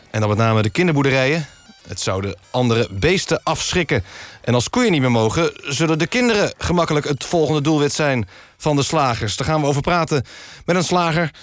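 A man hosting a satirical radio magazine in a deadpan, mock-serious way. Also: a little high. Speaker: radio host. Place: dry radio studio.

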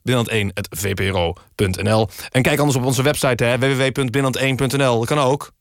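A man hosting a satirical radio magazine in a mock-serious, deadpan and halting way. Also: mid-range voice, no echo, clean studio sound. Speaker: radio show host. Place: radio studio. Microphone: close studio microphone.

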